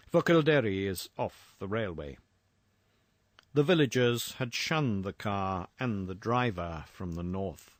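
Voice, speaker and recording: Man, medium pitch, slow pace, dramatic literary horror narration, measured formal delivery, dry sound. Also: no echo. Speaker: narrator reading a horror short story.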